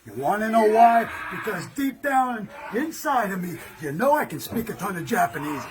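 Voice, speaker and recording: A man's voice: high-pitched, very animated, moderate pace. A man from the United States, a pro wrestler cutting a brash, profane, boastful promo. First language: English. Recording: loud background noise.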